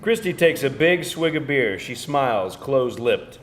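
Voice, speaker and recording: A man's voice: mid-range voice, animated, normal speed, a little hiss or hum. Man narrating screenplay directions. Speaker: narrator reading stage directions. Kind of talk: scripted narration at a table read.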